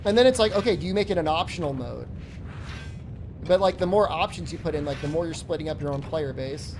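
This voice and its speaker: Animated man in his forties streaming. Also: a little high, quick pace, background noise. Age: 40s. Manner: profane, joking rants at chat. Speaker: game streamer. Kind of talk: live-stream chat while gaming.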